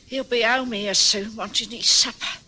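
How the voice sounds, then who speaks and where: A woman playing a frail elderly wife in a radio drama, breathy and faltering, with a weak, stammering way of talking. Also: a little high, fairly even intonation, moderate pace, sharp tone, slight background noise, low-quality recording. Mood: weary. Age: older.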